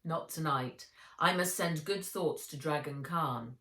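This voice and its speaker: A woman's voice: low voice, a little slow, a bit bright. A woman voicing a solemn, caring male kung fu mentor with formal, authoritative speech in a storybook reading. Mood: calm, firm. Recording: echoey room.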